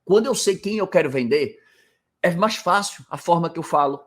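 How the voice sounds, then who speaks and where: Brazilian guy teaching hosting biz, kinda high voice, punchy, keeps going "why? because...". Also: expressive, moderate pace. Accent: Brazilian Portuguese. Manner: emphatic, answers his own rhetorical questions. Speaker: hospitality business coach. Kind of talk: informal teaching to camera. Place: rental house, indoors.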